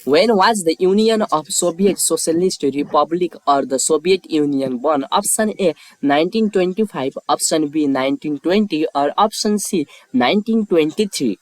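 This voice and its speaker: A man's voice: on the high side, animated, normal speed, few pauses, dry recording, rough recording. A man reading scripted quiz questions and lettered answer options as a narrator.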